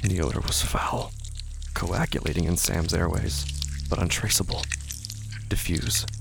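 A man narrating scripted horror fiction dramatically and gravely, with deliberate pauses and breathy drops toward a whisper. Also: low voice, noisy background.

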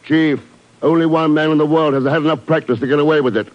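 A man acting as a seasoned secret agent in 1950s radio drama. He is cool, terse and determined, with a slightly high voice on a dull old recording. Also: fairly even intonation, quick pace, slight background noise, close to an everyday voice.

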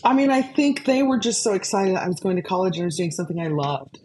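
A woman from Arkansas with a low speaking voice, telling stories as an interview guest, chatty and laughing often. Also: lively intonation, fast talker, mellow, a little hiss or hum. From Arkansas, USA. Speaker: guest composer. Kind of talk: casual conversational storytelling.

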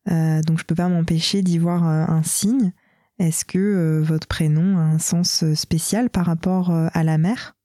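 A woman interviewer whose first language is Portuguese, asking thoughtful questions with soft pauses. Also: low voice, fairly even intonation, no room sound, clean studio sound. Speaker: radio interviewer.

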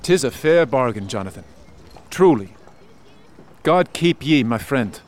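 An actor playing an earnest, loyal friend who speaks in archaic phrases, in a radio drama. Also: male voice, mid-range voice, animated, measured pace, darkish tone, a little hiss or hum, lightly acted. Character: loyal old-fashioned friend, earnest and warm.

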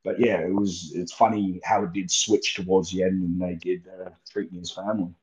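A young Australian man, a guest wrestler, talking in a relaxed, rambling way and laughing easily, heard over a remote call. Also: deep voice, normal speed, slight background noise. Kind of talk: casual interview chat. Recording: muffled lo-fi call audio.

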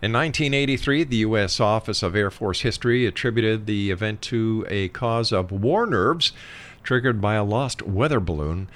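Male radio host, fairly deep register, emphatic presenter delivery in short phrases. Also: lively intonation, normal speed, fairly bright tone. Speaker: radio talk show host. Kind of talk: radio presenting, announcing and reading notes.

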